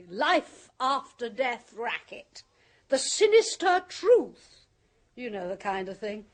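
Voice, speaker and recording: An actress playing a jaded woman who has faked being a spirit medium, speaking in a theatrical, mocking, clipped manner in a radio drama. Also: on the high side. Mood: wry. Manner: theatrical, mocking, clipped upper-class delivery. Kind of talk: 1970s radio drama acting.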